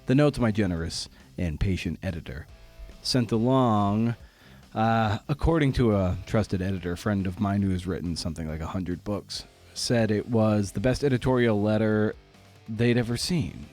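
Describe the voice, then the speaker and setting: A man's voice: on the low side, normal speed, a little mellow, soft background music. A man hosting a podcast, talking informally in a candid, self-deprecating way. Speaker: podcast host.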